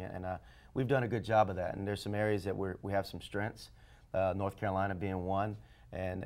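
Low-voiced middle-aged coach in a studio. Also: male voice, darkish tone. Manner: measured, frequent fillers and restarts. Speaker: head basketball coach, show guest. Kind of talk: casual studio interview. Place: TV studio set. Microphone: lapel mic.

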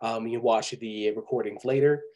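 A man teaching an online coding class over a video call, sick right now, talking in a relaxed, patient way with many pauses and ums. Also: medium pitch.

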